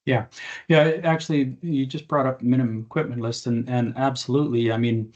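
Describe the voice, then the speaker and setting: A man speaking as an expert on an aviation podcast, explaining things conversationally and haltingly with informal examples. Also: medium pitch, steady tone, a little mellow, highs cut off.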